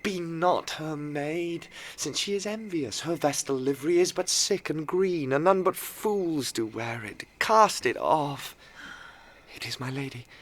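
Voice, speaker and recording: A slow, lovestruck young nobleman. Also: a little high, a little hiss or hum. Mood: ardent yearning. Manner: breathy, ardent, hushed then swelling. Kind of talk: Shakespearean radio play soliloquy.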